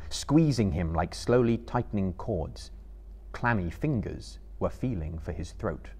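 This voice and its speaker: A man in his thirties reads a novel aloud as a theatrical storyteller. His voice is fairly low and husky, and his deliberate narration rises and falls with lots of animation. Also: a little mellow. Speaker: storyteller, reader. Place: seated in a book-lined room before a live audience.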